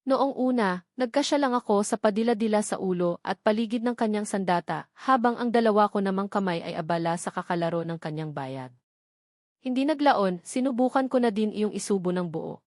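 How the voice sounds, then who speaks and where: A woman's voice: medium pitch, expressive, fairly quick, darkish tone, dry recording. Woman narrating a romance novel, dry close sound. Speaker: audiobook narrator. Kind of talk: scripted first-person romance novel narration.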